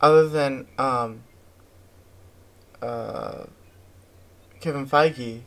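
Male podcast co-host, mid-range voice, unhurried and halting, casual chat. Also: mid-range voice, a bit bright.

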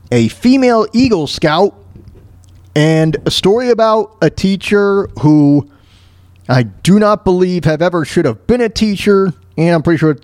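A man's voice: high-pitched, a little mellow, no echo. A man hosting a talk radio show, commenting casually in a rambling, exclamatory way and repeating his phrases.

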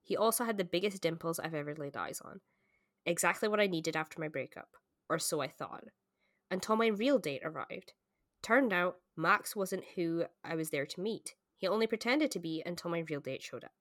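Low-pitched woman in casual book chat. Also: animated, moderate pace, fairly bright tone, no echo, clean studio sound. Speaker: podcast co-host.

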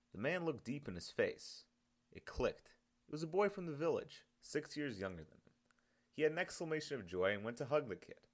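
A man reading his own story as scripted audiobook narration. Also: mid-range voice.

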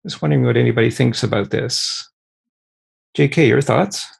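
A man moderating a group discussion, asking questions in a measured, thoughtful way. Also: medium pitch, creaky, slightly rough.

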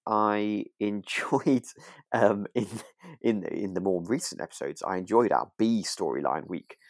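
A man co-hosting a podcast in casual chat, speaking with gentle enthusiasm and often repeating words as he feels for the next phrase. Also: on the low side, unhurried, dry recording, studio quality. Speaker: podcast co-host. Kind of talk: casual chat about a radio drama.

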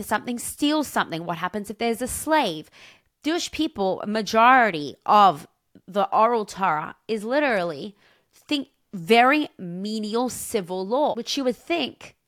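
A woman's voice: on the high side, no echo, clean studio sound. A woman giving a religious lecture as a Torah teacher, impassioned and emphatic, with rhetorical questions and storytelling. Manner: impassioned, emphatic, dramatic shifts between stressed and hushed phrases. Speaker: Torah teacher giving a weekly portion talk.

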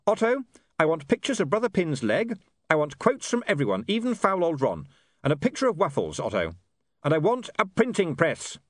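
A man's voice: fairly high pitch, huge pitch swings, normal speed, no echo, studio quality, pitched above the performer's own voice. A man voicing a driven male newspaperman who speaks sharply and gives orders, in a fantasy audiobook. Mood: sharp, urgent. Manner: commanding, sharp.

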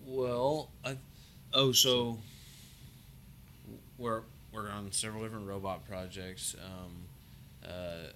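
A middle-aged engineering professor talking casually in a university lab, with a low, mumbling voice that halts and restarts. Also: male voice, steady tone, fairly bright tone, slight background noise. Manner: halting, restarts and trails off. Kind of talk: casual conversation. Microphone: shared podcast mic.

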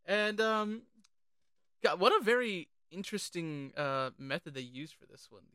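A podcast co-host talking casually and informally about films, animated and sweary. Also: mid-range voice, unhurried, clean tone, no echo, studio quality. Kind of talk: casual film discussion.

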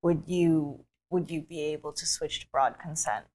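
A woman's voice: low voice, moderate pace, a little mellow. A woman hosting a podcast interview, asking short attentive questions. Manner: attentive, brief questions and backchannels. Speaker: podcast host.

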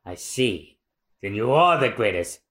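A man voicing a gruff, ambitious young pirate swordsman from a comic, speaking tersely. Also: very animated, unhurried, a bit bright. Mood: terse, determined. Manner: low, terse.

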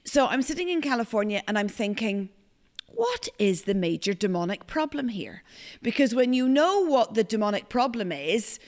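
A middle-aged Irish woman hosts a faith discussion, pressing her points hard and quoting scripture. Her voice sits somewhat high. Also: big pitch swings, normal speed, a little mellow. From Ireland. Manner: emphatic, preacherly, quotes scripture. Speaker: podcast host. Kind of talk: conversational teaching podcast.

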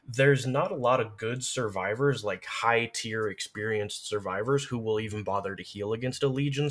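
A man speaks in a lowish voice. He explains things in an easy conversational way, as in a casual podcast discussion. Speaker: podcast guest. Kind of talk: casual podcast discussion of game lore and strategy.

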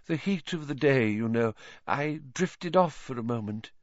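A man voicing a dreamy, fussy female divination teacher who talks softly and dramatically. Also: mid-range voice, animated, unhurried, dry recording, muffled recording, pitched above the performer's own voice, close to an everyday voice. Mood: dreamy, dismissive. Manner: soft, whispery, dramatic.

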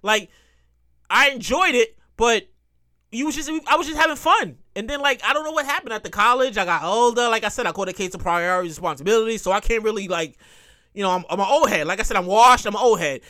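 A man's voice: high-pitched, fast. A man in his thirties hosting a casual solo podcast, rambling and cursing as he repeats himself. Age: 30s. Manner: animated, acts out quotes.

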